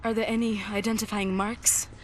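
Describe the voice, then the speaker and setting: An actress playing a composed resistance researcher in a game cutscene, speaking in a measured, inquisitive way. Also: female voice, mid-range voice, flat delivery, slight background noise, lightly acted. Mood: focused.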